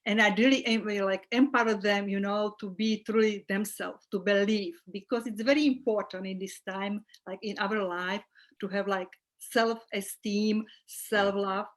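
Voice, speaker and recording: A woman's voice: medium pitch, fairly even intonation, unhurried. A middle-aged woman from Slovakia with a Slovak accent, a holistic well-being coach talking earnestly as a guest in an online interview. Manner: earnest, with small restarts and fillers. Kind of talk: casual interview chat.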